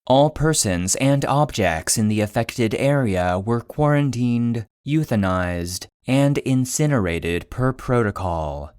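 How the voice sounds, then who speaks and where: Slow, measured male narrator. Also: mid-range voice, animated, darkish tone, clean tone, no echo, clean studio sound. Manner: measured, clinical, serious. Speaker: podcast narrator and producer. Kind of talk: scripted narration of fictional clinical case file.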